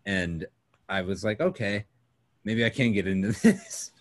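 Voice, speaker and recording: A nineteen-year-old man co-hosting a casual podcast, chatty and quick to laugh. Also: on the low side, very animated. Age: late teens. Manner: laughs often, chatty, agreeable. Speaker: podcast co-host. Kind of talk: casual chat.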